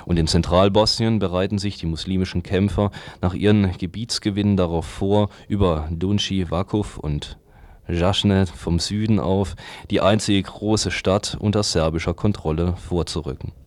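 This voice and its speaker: A man reading newspaper articles aloud for a radio news programme in a scripted, measured news style. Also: low voice, steady tone, a little mellow, no echo. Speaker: radio reader of press articles.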